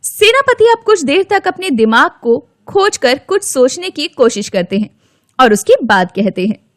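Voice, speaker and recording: High, animated woman storyteller. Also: normal speed, dry recording, clean studio sound. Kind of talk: expressive scripted children's story narration.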